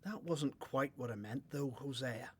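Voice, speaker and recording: A man's voice: mid-range voice, measured pace, a little mellow, slightly rough. A young man playing an earnest, polite, questioning young prophet in a religious radio drama. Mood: curious, probing. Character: young John the Baptist, earnest, searching, polite newcomer.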